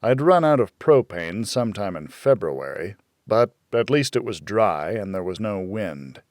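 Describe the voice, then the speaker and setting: A man narrating a first-person thriller audiobook in a deliberate, brooding, dramatic storytelling manner. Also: on the low side, very animated, no echo, studio quality.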